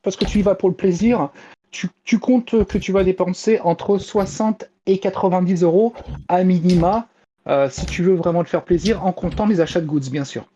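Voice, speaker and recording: A man's voice: high voice, moderate pace, warm tone, muffled recording, slight background noise. A man chatting casually as a panelist reporting news on a talk show, hesitant and searching for words. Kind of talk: casual chat.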